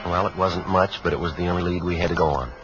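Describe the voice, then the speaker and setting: Actor playing a very deep-voiced, deadpan American police sergeant. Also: male voice, quick pace, close to an everyday voice. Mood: flat, factual. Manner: deadpan, terse, matter-of-fact. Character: calm, businesslike male police sergeant narrating his case. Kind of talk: 1950s radio drama, clipped procedural narration. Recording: dull 1950s radio broadcast, faint music.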